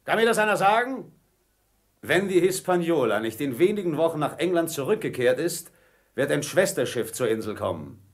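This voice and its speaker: An actor playing a cunning, commanding pirate ringleader in a radio play, theatrical, by turns wheedling and menacing. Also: male voice, fairly high pitch, very animated, moderate pace. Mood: furious.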